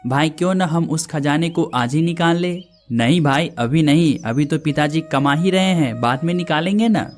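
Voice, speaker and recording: Man playing a higher-pitched lazy village lad. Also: moderate pace, few pauses, soft background music, natural voice. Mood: complacent. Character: lazy young village brothers, whining and scheming.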